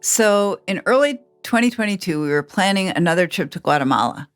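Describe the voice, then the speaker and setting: Lower-pitched middle-aged American woman. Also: expressive, fairly quick, soft background music. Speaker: podcast co-host interviewing her grown child. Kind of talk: reflective interview and narration.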